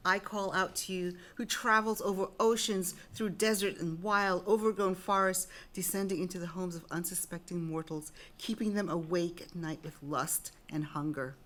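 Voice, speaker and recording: A woman's voice: lowish voice, fairly even intonation, moderate pace, few pauses, a bit bright, lightly acted. A woman playing a solemn citizen of a Greek chorus, reciting in an incantatory way in a dramatic reading. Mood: invoking.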